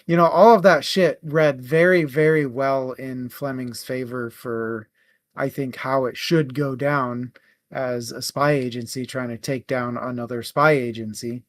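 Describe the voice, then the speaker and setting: A man co-hosting a book club podcast, giving his opinion casually and haltingly, as if thinking aloud. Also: medium pitch, steady tone. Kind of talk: casual book review talk.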